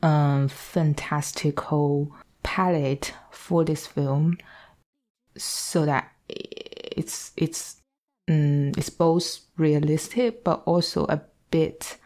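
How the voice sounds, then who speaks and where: A woman's voice: low voice, drawn-out words, a little mellow. A woman in a casual film discussion, speaking as a panelist with thoughtful, hesitant phrasing.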